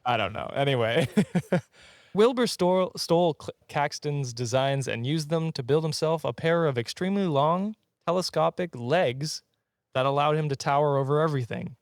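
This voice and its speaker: A man's voice: fairly high pitch, animated, moderate pace, no room sound, clean studio sound. A man hosting a casual comedy podcast, telling trivia and bantering in a jokey, chuckly way. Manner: jokey, often chuckling as he talks. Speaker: podcast host.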